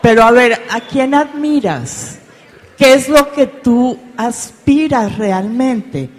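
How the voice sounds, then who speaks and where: A woman's voice: a little high, takes it slowly. A woman in the audience asking a pointed, challenging question. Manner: probing, challenging. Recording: long hall reverb.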